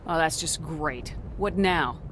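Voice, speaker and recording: An actress playing a confident human military commander in a video game, direct, controlled and authoritative. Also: female voice, low-pitched, slow pace, noisy background. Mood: terse. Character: confident female human military commander, firm, level-headed. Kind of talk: video game dramatic acting.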